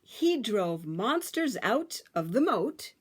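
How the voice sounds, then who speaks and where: A woman reading a picture book aloud to children, with dramatic, emphatic phrasing and drawn-out words. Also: fairly high pitch, sing-song, slightly rough.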